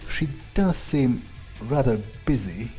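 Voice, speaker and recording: An aloof, arrogant vampire, role-played by a man in a video game playthrough. He muses slowly and haltingly in a deadpan, mellow voice, with dry amusement. Faint music sits behind him. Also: medium pitch. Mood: musing, arrogant. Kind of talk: in-character video game playthrough, thinking aloud.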